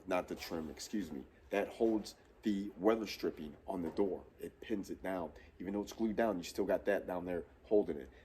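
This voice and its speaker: A congested man in his thirties talks through a shop tutorial with a stuffy, nasal voice. His voice is low, dark and husky, and his delivery is laid-back and rambling. He is recorded on a camera at mid distance in a big metal shop. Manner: laid-back and rambling, with frequent self-corrections. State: stuffy nose from a cold. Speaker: host of a truck build series. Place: large metal fabrication shop, indoors. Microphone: camera on a tripod at mid distance.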